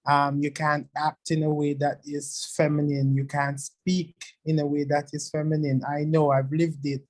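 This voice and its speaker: Antiguan male panelist, soft unbroken-sounding voice, monotone, measured. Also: on the high side. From Antigua and Barbuda. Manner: measured, thoughtful, with frequent ums. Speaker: webinar panelist. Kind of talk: panel discussion on a video call. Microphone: video call.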